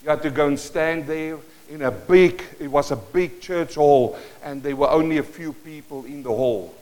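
A man from South Africa with an Afrikaans accent, preaching a sermon to a congregation and telling a personal story with animated bursts. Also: a little high, moderate pace. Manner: storytelling, animated bursts, moves around the stage. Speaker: pastor. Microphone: worn or handheld mic that cuts in and out while he moves.

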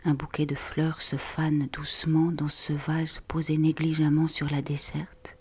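A woman narrator reading a poetic, introspective literary monologue in a hushed, breathy way. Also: sits low, little pitch movement, a little slow.

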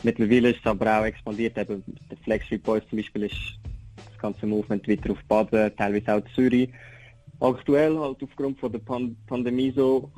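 A man's voice: on the low side, steady tone, a little fast, warm tone, soft background music, low-quality recording. A man with a Swiss German accent giving a casual interview as a rapper, talking loosely with English slang mixed in. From Aargau, Switzerland. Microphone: telephone.

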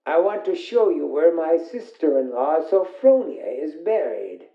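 Sinister, obsessed hotel keeper for old radio suspense: brooding, swooping delivery, gravelly edge. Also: male voice, on the high side, sing-song, dry recording, muffled recording, full character voice. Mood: insistent menace. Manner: slow, brooding, sinister, deliberate. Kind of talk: 1940s radio suspense acting. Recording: old radio drama with music behind.

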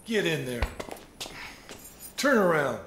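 A man playing a brusque prison guard in an audio drama, loud, curt and commanding. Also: on the high side, sing-song, takes it slowly, full character voice. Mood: mocking, commanding. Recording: echoey room, faint noise.

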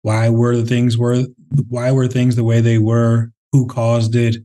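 A man from the Twin Cities, a company head, answering interview questions in a thoughtful, conversational way with frequent pauses and fillers. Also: mid-range voice, completely flat delivery, mellow. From Minnesota, Twin Cities. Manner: thoughtful, many fillers and restarts. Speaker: real estate CEO, interview guest.

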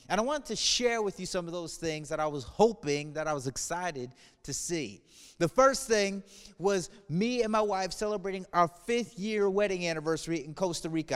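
Smooth-voiced man with reverberant echo. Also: high voice. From United States. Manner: earnest, shifts between playful humor and somber reflection. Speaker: church speaker. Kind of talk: video message to a congregation. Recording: reverberant room.

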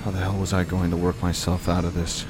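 A man's voice: low voice, completely flat delivery, quick pace, noisy background, natural voice. A man playing an anxious, wry detainee in a science fiction audio drama, both narrating in the first person and speaking in dialogue. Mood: anxious, brooding.

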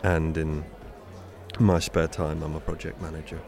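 A man's voice: very deep voice, flat delivery, moderate pace, gravelly, darkish tone. An actor playing an awkward, tactless British man on a first date in an audio drama, joking clumsily and bluntly. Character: awkward, tactless male first-date novice, foot in mouth.

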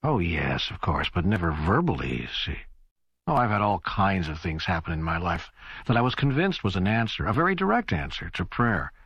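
An earnest seeker full of doubt in a dramatized audiobook. His voice is very low and husky, recorded dry. He speaks in a searching, hesitant manner. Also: big pitch swings, normal speed, fairly bright tone, no echo, lo-fi sound, full character voice. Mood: skeptical, earnest. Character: earnest, doubting male seeker questioning God.

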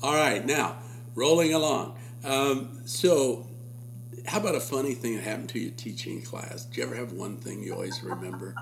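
Low gravelly guy doing the interview, keeps saying beautiful, faint hum. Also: moderate pace. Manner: encouraging, praises answers. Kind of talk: interview conversation.

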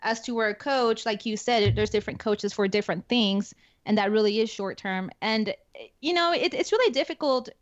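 Woman, Spanish accent, halting speech, many fillers, muffled dry audio. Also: fairly high pitch, dry recording. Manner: hesitant, many fillers and restarts. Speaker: guest, security forces NCO. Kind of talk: casual interview talk. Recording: dull lo-fi dry sound.

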